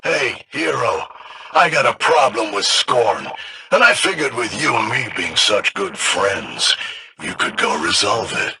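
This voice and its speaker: A low raspy wise guy game character, snide and wheedling, really forceful edge. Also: very animated, unhurried, exaggerated voice, rough recording. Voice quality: raspy, sharp. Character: shady sarcastic male schemer, wheedling and snide. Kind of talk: video game character dialogue.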